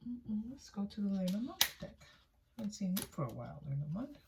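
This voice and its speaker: A woman's voice: mid-range voice, very animated, brisk, vocal fry, very noisy. A woman giving a casual, intuitive tarot reading for viewers, often repeating phrases for emphasis. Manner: voices another person's thoughts in first person. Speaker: tarot reader, channel host.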